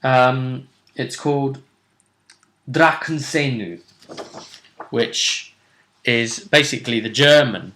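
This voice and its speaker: A man hosting a tabletop role-play show, casually recapping the action in a jokey, theatrical way with drawn-out emphasis. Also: mid-range voice, pushed and bright, slight background noise. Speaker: game master and host.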